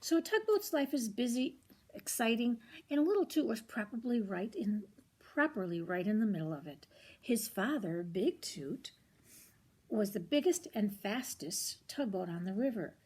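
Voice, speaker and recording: Husky older woman reading a children's story. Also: on the high side, moderate pace. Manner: expressive, grandmotherly, commenting on the pictures. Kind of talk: read-aloud storytelling with short asides.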